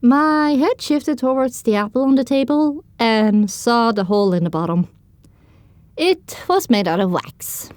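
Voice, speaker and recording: A woman narrating as a young pony heroine, telling her own story in descriptive, expressive scripted audiobook style. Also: a little high, a little slow, no room sound.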